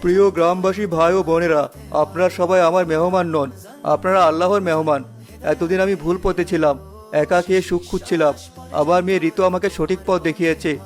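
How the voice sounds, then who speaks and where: A man playing a kindly middle-aged father in a cartoon story, speaking in a measured, earnest way. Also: high voice, steady tone, close to an everyday voice. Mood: humble, sincere. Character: wealthy, kindly father of a young girl. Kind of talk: scripted cartoon dialogue. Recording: music behind the voice.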